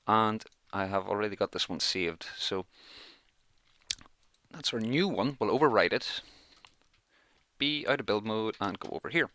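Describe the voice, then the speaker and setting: A man's voice: lowish voice, animated, a little slow, long pauses, pushed and bright. A man giving casual let's play commentary, chatting and thinking aloud as he plays, close on the microphone in a small room. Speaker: gaming YouTuber, let's play host.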